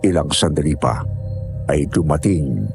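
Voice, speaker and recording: A man's voice: extremely low pitch, animated, a little slow, vocal fry, over music. A man reading a scripted first-person horror story as narrator.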